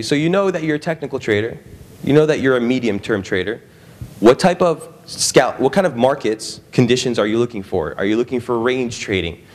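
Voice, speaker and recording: A young man presenting a trading seminar to a live audience, conversational, often checking in with the room. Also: mid-range voice. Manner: conversational, frequent "right?" and "okay?" check-ins with the audience. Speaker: trading strategist presenting at an expo.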